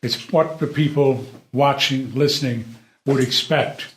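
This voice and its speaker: A man, an actor, explaining his view in short, measured phrases during a casual group discussion. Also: medium pitch, fairly bright tone. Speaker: actor in a play reading. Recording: roomy echo around the voice.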